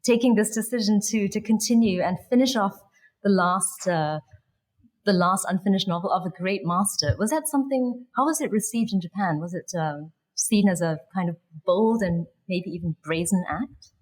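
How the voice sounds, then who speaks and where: Woman interviewer onstage, polite and searching, plenty of ums, mid-pitched. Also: normal speed, low-quality recording. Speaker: festival moderator.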